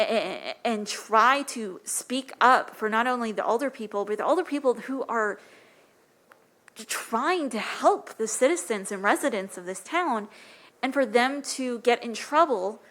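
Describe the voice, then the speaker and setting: A woman with a light, soft-spoken voice pitched a little high speaks earnestly at a council meeting. She talks into a podium microphone in a council chamber. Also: lively intonation, a little slow. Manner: earnest, impassioned. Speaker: public commenter. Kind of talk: public comment at council meeting.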